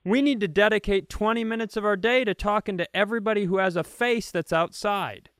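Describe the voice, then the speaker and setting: A man with an American accent and a somewhat high voice tells stories on a comedy podcast. He rants with comic exasperation and acts out what people say. The sound is dry, with no room echo. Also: fairly quick, fairly bright tone. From Minnesota, United States. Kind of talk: casual comedic storytelling.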